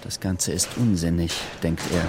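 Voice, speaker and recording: A man narrating a scripted radio drama in a measured, literary storytelling manner. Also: low-pitched, steady tone, heavy background noise.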